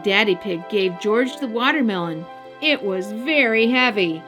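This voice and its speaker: A woman hosting a children's story time, narrating a scripted picture book in a deliberate, expressive style. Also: medium pitch, over music. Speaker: storyteller, host.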